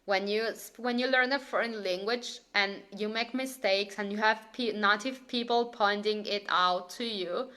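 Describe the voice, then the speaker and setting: A woman's voice: mid-range voice, normal speed, few pauses, pushed and bright. A woman with an Italian accent, a teacher chatting casually in a live stream, laughing often and reading out viewers' comments. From Italy.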